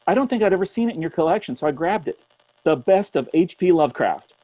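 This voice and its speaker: A man's voice: high voice, big pitch swings, fast, dark tone, no room sound, poor audio. A man acting in a radio drama as an earnest, thoughtful radio host after a zombie apocalypse. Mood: eager, then apologetic. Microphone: close studio mic on boom arm.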